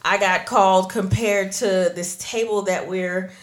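A woman's voice: mid-range voice, fairly even intonation, a little slow. A woman with an American accent, chatting casually with friends as a podcast host. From Trinidad and Tobago, moved to the United States at four. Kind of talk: casual group chat.

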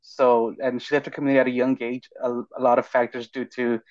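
A man raised bilingual in English and Spanish, giving measured, reflective answers as an interview guest. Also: mid-range voice, little pitch movement, darkish tone, dry recording. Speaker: podcast guest, language learner. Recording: dull, lo-fi voice recording.

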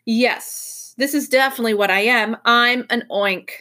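A woman voicing a silly, vague, mournful male storybook creature with a drawn-out, absent-minded, sing-song delivery. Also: on the high side, sharp tone.

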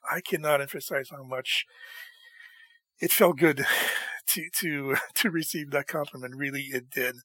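A man hosting a music show in a casual solo monologue, enthusiastic and chatty, his voice slightly hoarse from talking. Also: fairly high pitch, steady tone, a little slow, long pauses, sharp tone, no room sound. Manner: enthusiastic and chatty, with frequent laughs.

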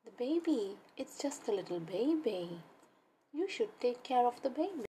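Female children's storyteller: high, lively, very unhurried, addressing little kids directly, faint background noise.

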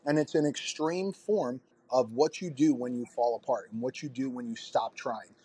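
A man in his forties talks in an energetic, rambling way with plenty of swearing. His voice is a bit husky, at an average male pitch. He sounds like a podcast host in a loose conversation. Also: normal speed. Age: 40s. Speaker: fitness coach and podcast host.

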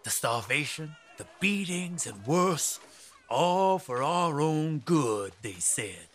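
A man's voice: a little high, very animated, slow pace, fairly bright tone, heavily acted. An actor playing a wry, downtrodden working-class man in a radio drama, with a dramatic storytelling manner. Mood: bitter, wry. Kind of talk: radio drama narration and acting.

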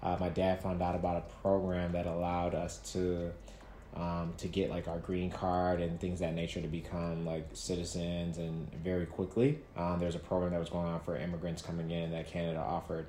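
A man in his thirties from Nigeria, a guest talking casually about his life, relaxed, with many fillers and restarts. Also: low voice, normal speed. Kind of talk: casual interview storytelling. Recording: echoey room with faint noise.

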